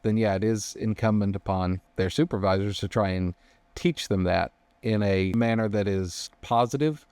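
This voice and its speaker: Male co-host, fairly low voice, casual and careful, dry studio-close sound. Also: moderate pace, a little mellow, smooth, dry recording. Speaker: podcast co-host. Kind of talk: casual discussion.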